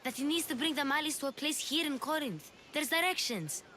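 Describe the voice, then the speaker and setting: Video game, young urchin girl, eager, high voice, very varied pitch. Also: animated, a little fast, bright, forceful tone, a little hiss or hum. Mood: eager, pleading.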